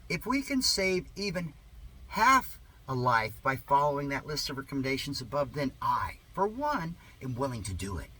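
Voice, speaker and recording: A man's voice: mid-range voice, very animated, moderate pace, pushed and bright, breathy edge, low-quality recording. A man reading a satirical monologue aloud in a sarcastic, mock-earnest, theatrical way with exaggerated emphasis. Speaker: reader of a satirical article.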